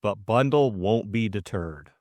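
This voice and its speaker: A man's voice: fairly low pitch, animated, a little slow, a little mellow. A man narrating a scripted story expressively as an audiobook host.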